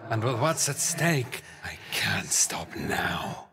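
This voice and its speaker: A haughty, zealous duke delivers dramatic fantasy game dialogue. His pace is slow and measured, his manner condescending and theatrical, in a middle-pitched male voice. Ambient noise sits clearly behind him. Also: big pitch swings. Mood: grim, resolute. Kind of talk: dramatic video game character acting.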